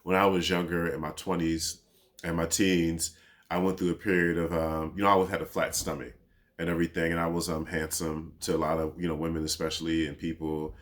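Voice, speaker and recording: A man in his thirties with a very low, deep voice speaks in a personal, reflective monologue. His delivery is laid-back and rambling, peppered with easy fillers as he thinks aloud. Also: little pitch movement, a little fast. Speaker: podcast host.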